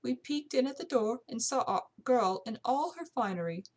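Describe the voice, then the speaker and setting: A woman's voice: on the high side, animated, moderate pace, pitched above the performer's own voice. A woman voicing a proper, tearful older English housekeeper with a put-on British accent, in an audiobook-style story. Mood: tearful, earnest. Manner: proper, deferential, old-fashioned servant speech. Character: tearful prim elderly English housekeeper, loyal servant.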